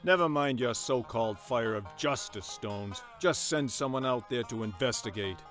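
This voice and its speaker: A man's voice: medium pitch, normal speed, fairly bright tone, soft background music, close to an everyday voice. A man voicing a worried, insistent townsman, stern and grave, in a horror radio play.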